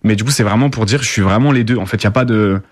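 A man's voice: fairly low pitch, fast, no echo, studio quality. A young man from the Paris suburbs, answering interview questions casually with many fillers and restarts. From Paris suburbs, France. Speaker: rapper, guest.

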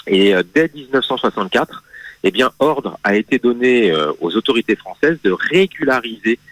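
A man guest on a radio interview, explaining history in a measured way with frequent hesitations and restarts. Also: medium pitch, expressive, fairly bright tone, poor audio. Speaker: magazine guest speaking on history.

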